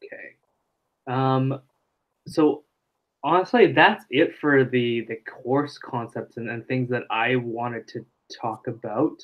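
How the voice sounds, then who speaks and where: A man's voice: medium pitch, slow. Man explaining casually over dull call audio. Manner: loose, filler-heavy explaining. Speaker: webinar presenter on marketing. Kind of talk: casual live webinar teaching.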